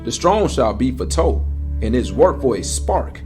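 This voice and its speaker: A man's voice: mid-range voice, sing-song, unhurried. A man narrating a dramatized scripture reading in a solemn, declamatory manner. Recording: music bed behind the voice. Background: musical score.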